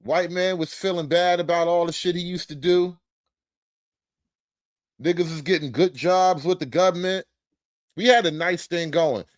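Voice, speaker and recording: A man's voice: sits high, steady tone, moderate pace, long pauses, no echo, studio quality. A man giving casual reaction commentary on a video, blunt, profane and mocking.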